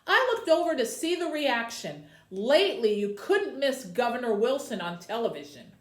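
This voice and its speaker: A woman with a high voice works as a library host for young viewers. Her pitch moves up and down in an expressive storytelling style. The room adds noticeable echo around her words. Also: moderate pace. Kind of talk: reading a children's novel aloud, then addressing young viewers. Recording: roomy, echoey indoor sound.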